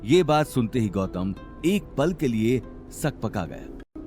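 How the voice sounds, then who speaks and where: A man's voice: mid-range voice, very animated, slow pace, darkish tone, faint music behind. A man narrating a scripted audio drama in a dramatic storytelling style.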